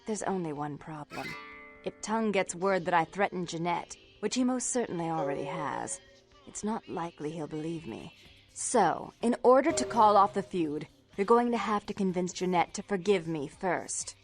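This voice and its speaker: A woman's voice: fairly low pitch, animated, normal speed, soft background music. A woman playing a stern, imperious vampire club owner in a video game, with formal, articulate speech. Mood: cool, stern.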